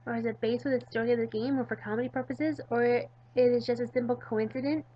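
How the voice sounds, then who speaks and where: A woman voicing a goofy, excitable, ditzy teenage schoolgirl from a visual novel, rambling and dramatic. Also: a little high, quick pace, highs cut off, slight background noise, close to an everyday voice. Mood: puzzled, rambling.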